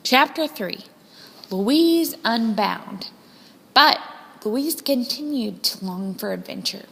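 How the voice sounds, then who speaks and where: High-voiced woman reading a storybook, reverberant. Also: gravelly, slightly rough. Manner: expressive, deliberate phrasing. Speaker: storybook reader. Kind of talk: scripted children's story narration. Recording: long hall-like reverb.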